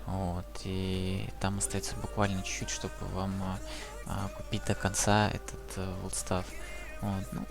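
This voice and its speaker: Young man, low gravelly voice, rambling game guide talk, constant fillers, music underneath. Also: completely flat delivery, a little slow. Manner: rambling, many fillers like "вот" and "то есть". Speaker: gameplay guide commentator. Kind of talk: casual game guide commentary over gameplay.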